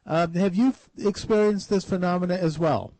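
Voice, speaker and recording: A man's voice: high-pitched, moderate pace, darkish tone, no echo. A man hosting a radio talk show, asking long questions with many restarts and fillers. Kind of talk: radio interview.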